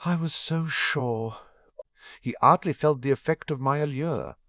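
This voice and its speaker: A man's voice: a little high, a little slow, fairly bright tone, no echo, voice pushed up. A man voicing a vain, dramatic young French woman with a French accent and a theatrical, sighing delivery. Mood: wistful. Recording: dull lo-fi dry recording.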